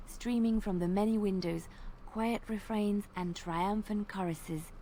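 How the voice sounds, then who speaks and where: A woman voicing a young female bard and former spy in a video game, speaking in a soft, lilting way and lingering on detail. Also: mid-range voice, fairly even intonation, unhurried, a little hiss or hum, lightly acted, rough recording. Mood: wistful. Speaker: game companion character.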